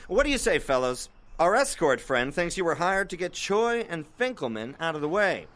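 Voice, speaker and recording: Wry, smooth male internet host; animated, fairly high voice, mock-polite and showy. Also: normal speed. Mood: teasing, probing. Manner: mock-courteous, teasing, showy. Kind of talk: audio drama acting as show host.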